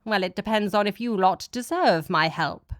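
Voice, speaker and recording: A woman's voice: medium pitch, moderate pace, dry recording, studio quality, lightly acted. A woman voicing a cheeky male vampire professor in an audiobook, talking in a smug, flippant way. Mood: cool, challenging. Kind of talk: dramatic audiobook character voice.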